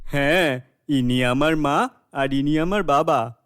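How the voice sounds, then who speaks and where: A man's voice: on the high side, takes it slowly, fairly bright tone, clear, resonant tone, no room sound, clean studio sound. A man voicing a polite, placating young village boyfriend in a cartoon, with earnest delivery. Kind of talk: animated cartoon acting.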